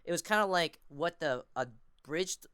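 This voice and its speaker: A man's voice: a little high, expressive, a little slow, pushed and bright, smooth, dry recording, studio quality. A young man chatting casually as a podcast guest, rambling with a fan's enthusiasm. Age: young adult. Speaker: podcast guest, amateur voice actor and fandubber. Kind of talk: casual chat.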